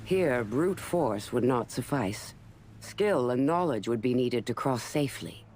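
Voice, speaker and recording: A man giving even, informative scripted narration for a game story. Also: medium pitch, measured pace, a little hiss or hum, lightly acted.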